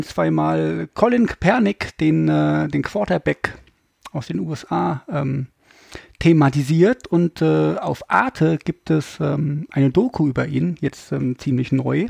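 A man's voice: on the high side, vocal fry, a little mellow, breathy edge, dry recording. A man hosting a podcast, chatting in a colloquial, informal way. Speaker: podcast host. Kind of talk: casual chat.

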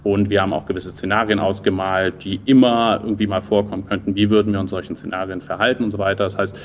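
A man's voice: sits low, level tone, fast, a little hiss or hum. A man in his thirties from the German Rhineland, answering interview questions conversationally in a thoughtful way, searching for words. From Düsseldorf, Rhineland, Germany. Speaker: interview guest, entrepreneur.

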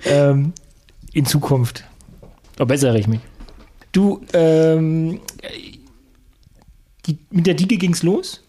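A man's voice: on the high side, takes it slowly, long pauses, dark tone. A man hosting a podcast, chatty and self-deprecating, speaking with a regional dialect. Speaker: podcast host. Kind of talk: casual podcast conversation.

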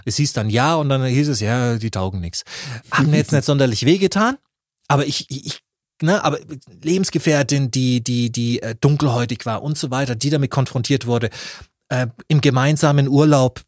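A man's voice: medium pitch, normal speed, dry recording. Podcast guy chatting, rambly and blunt, swears some, dry close mic. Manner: rambling, restarts often, blunt and coarse. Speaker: podcast co-host. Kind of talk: casual podcast chat.

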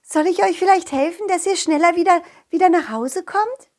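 Woman as a high, sing-song fairyland helper. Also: normal speed, a little mellow. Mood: kind, delighted. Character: kind, helpful fairyland friend with magic gifts. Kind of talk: children's audio play.

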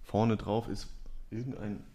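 A young man hosting a podcast quiz, reading out clues in a calm, measured way. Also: on the low side. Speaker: quiz host.

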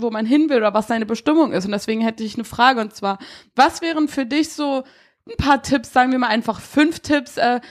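A woman co-hosting a podcast talks casually and teases her guest. Her voice sits a little high. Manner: joking, teasing. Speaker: podcast co-host.

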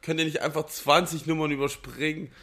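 Male co-host for a chat podcast, pitch a bit high, loose banter among friends. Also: fairly even intonation, a little fast, sharp tone.

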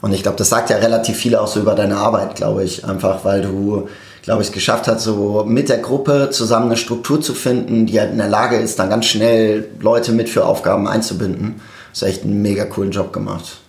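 A man's voice: lowish voice, fairly even intonation, a little fast, darkish tone. A man hosting a casual interview, friendly and explanatory, who praises his guest's work. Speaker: host. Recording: roomy echoey room.

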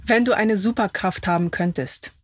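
A woman hosting a casual interview podcast from inside a camper van, with an excited, effusive manner. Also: medium pitch, moderate pace, dry recording, studio quality. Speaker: podcast host.

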